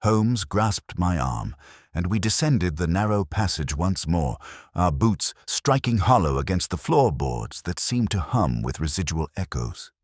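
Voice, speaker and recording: A man's voice: low voice, very animated, a little slow, husky, no echo, studio quality. A man reading scripted first-person mystery fiction as a narrator, in a solemn, atmospheric storytelling manner. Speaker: audiobook narrator.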